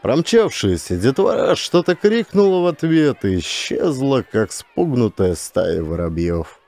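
Male audiobook narrator: mid-pitched, relaxed tone, scripted storytelling at an unhurried pace.

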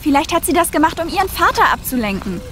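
A sensible young girl organising her team in a children's radio play. Her voice is very high and bright, with brisk, animated delivery over faint noise. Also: exaggerated voice. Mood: matter-of-fact. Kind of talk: children's audio drama acting.

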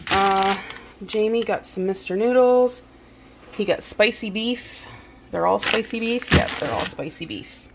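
A woman vlogger casually narrating a grocery haul in her home kitchen, relaxed and chatty with frequent "um"s. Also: medium pitch, vocal fry, noisy background.

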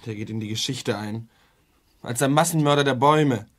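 An actor playing a bitter, appalled son in a radio play, speaking with grim emphasis. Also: male voice, medium pitch, moderate pace, fairly bright tone, close to an everyday voice. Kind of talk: German radio play dialogue.

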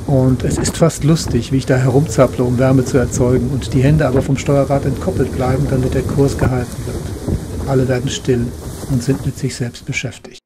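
A man's voice: medium pitch, level tone, moderate pace, noisy background. A middle-aged man narrating a sailing trip as its skipper, in a style that moves between scripted storytelling and spontaneous recollection. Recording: music behind the voice. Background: music.

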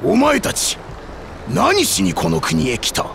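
A man's voice: high-pitched, huge pitch swings, slow, vocal fry, fairly bright tone, a little hiss or hum, full character voice. A man voicing a stern, suspicious soldier who guards a port in a game. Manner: commanding, suspicious.

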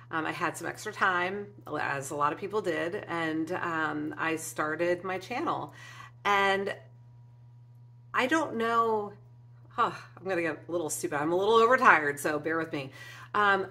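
Bright-voiced woman chatting to camera. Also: lowish voice. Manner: warm and emotional, frequent ums, gestures with hands. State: teary at moments. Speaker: craft channel host. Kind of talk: heartfelt casual vlog to viewers. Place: indoor craft room with shelves and flowers.

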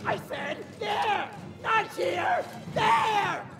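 An actor playing a shrill male goblin foreman shouting orders at workers in a game. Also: expressive, drawn-out words, exaggerated voice. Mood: bossy shouting. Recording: roomy echo, loud music and crowd.

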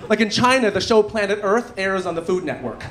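Male comic, thirties, bright animated delivery with a high, swinging pitch, live stage recording. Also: a little fast. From Canada. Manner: animated, punchy delivery with dry asides. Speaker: stand-up comedian. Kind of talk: stand-up set. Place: theatre stage before a live audience. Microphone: handheld stage mic. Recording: live club recording with audience noise. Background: audience laughter.

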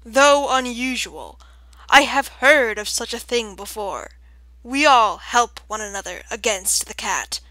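A woman's voice: a little high, bright, forceful tone, dry recording, low-quality recording. A woman voicing a large, stern, formal male owl with a round, sonorous voice in an audiobook. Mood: measured, formal. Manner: slow, formal, weighty delivery. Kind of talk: audiobook character voice.

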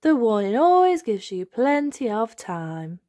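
A woman's voice: a little high, big pitch swings, unhurried, warm tone, no echo, clean studio sound, voice pushed up. A woman voicing a cheerful, bumbling father pig from a storybook, hesitant and reassuring. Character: cheerful, bumbling daddy pig, adult male.

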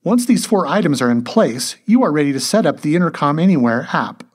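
A man reading scripted instructional narration as a tutorial narrator, in a measured, step-by-step way. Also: a little high, expressive, slightly rough.

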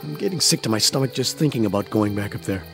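A man's voice: on the low side, animated, quick pace, gravelly, soft background music. An actor playing an anxious, devout father of young children in a family audio drama. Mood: uneasy, reluctant.

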